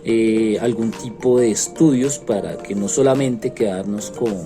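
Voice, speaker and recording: A man from Colombia with a Colombian accent, a French teacher earnestly explaining his view to students, pausing often on fillers. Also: lowish voice, over music. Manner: earnest, frequent hesitation fillers. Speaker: French teacher, interviewed expert.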